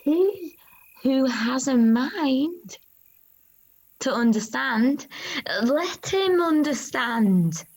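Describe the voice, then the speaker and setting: A woman playing a female disciple who solemnly recounts a vision in a halting, reverent dramatic monologue with long pauses. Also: sits high, lively intonation, darkish tone, heavily acted, low-quality recording. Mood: earnest, urgent. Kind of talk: dramatic monologue of an ancient religious text.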